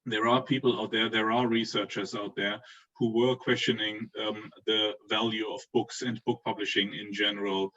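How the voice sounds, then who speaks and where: A man's voice: on the low side, flat delivery, normal speed, pushed and bright. Man, German accent, panelist, hesitant with fillers, distant muffled video-call audio. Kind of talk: remote panel presentation.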